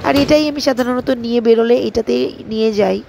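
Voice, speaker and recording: A woman's voice: on the high side, quick pace, gravelly. A woman narrating a casual family vlog, chatting and explaining things to viewers. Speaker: vlogger narrating her day. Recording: faint background noise.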